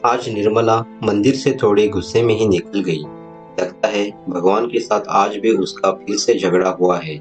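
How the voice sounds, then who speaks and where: A man's voice: lowish voice, normal speed. A man narrating a scripted moral story as its storyteller. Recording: music bed behind the voice.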